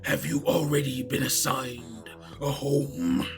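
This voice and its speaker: A man playing a stern, menacing school principal in a tabletop game, slow, theatrical and commanding. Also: mid-range voice, steady tone, music in the background.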